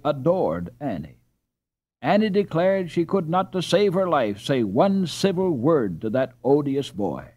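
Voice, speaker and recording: A man narrating an audiobook in an expressive, measured storytelling style with dramatic pauses. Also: medium pitch.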